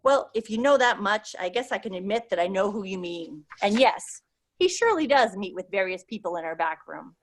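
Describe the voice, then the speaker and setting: A woman's voice: mid-range voice, lively intonation, brisk. A woman reading the lines of a friendly American male bartender in his thirties, chatty and a little cagey.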